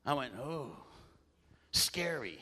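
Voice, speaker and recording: A man preaching a sermon with a loud voice and animated storytelling, often clearing his throat. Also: mid-range voice, slow pace, in a large hall.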